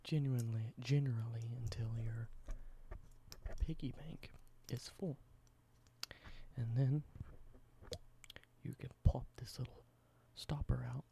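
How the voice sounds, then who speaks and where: A young man doing a soft, halting ASMR whisper, slowly explaining everyday objects in simple words. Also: medium pitch, fairly even intonation, vocal fry, a little hiss or hum. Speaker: ASMR creator.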